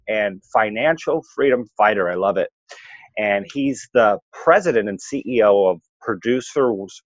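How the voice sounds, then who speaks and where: A man's voice: medium pitch, no room sound. Male interview host, upbeat and friendly, dry muffled lo-fi recording. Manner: upbeat, enthusiastic introductions. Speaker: show host. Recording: dry, dull lo-fi sound.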